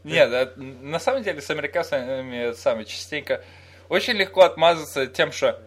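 A man's voice: a little high, expressive, a little fast. A young man from Russia in casual, crude, joking chat with a friend, telling anecdotes and mimicking people.

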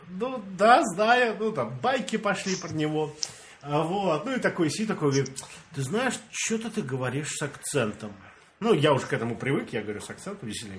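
Russian guy telling a story, voice jumps around a lot, slightly high, a bit of noise. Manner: animated storyteller, acts out dialogue, laughs often. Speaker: storyteller among friends. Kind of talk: casual chat, telling an anecdote.

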